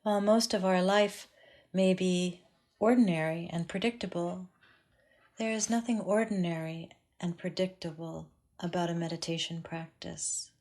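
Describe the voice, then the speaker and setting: A woman teaching a guided meditation in a calm, measured way with long pauses. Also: lowish voice.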